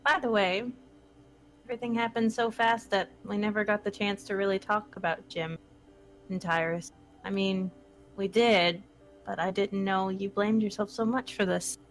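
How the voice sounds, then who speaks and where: A woman's voice: mid-range voice, steady tone, normal speed, soft background music, close to an everyday voice. A woman playing a playful, caring friend in her twenties, speaking conversationally in a dramatic script reading. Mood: caring, reassuring.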